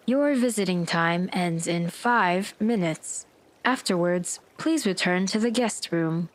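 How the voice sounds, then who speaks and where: A woman voicing a polite, impersonal automated system that makes formal announcements in a sci-fi audio drama. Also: on the low side. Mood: neutral, formal. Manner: formal, evenly deliberate.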